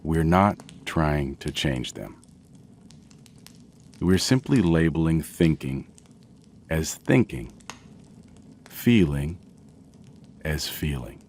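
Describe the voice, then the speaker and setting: Very deep-voiced man, slow sleep meditation. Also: expressive, a little hiss or hum. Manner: gentle, soothing, measured with long pauses. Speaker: guided meditation narrator. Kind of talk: slow guided sleep meditation, scripted narration.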